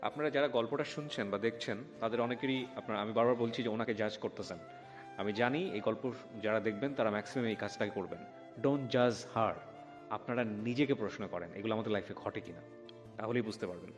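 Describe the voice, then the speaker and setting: A man's voice: fairly low pitch, brisk. A man with a Bangladeshi accent hosting a probing conversational interview, switching into English phrases. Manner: switches between Bengali and English. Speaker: show host and interviewer. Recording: long reverb, background music.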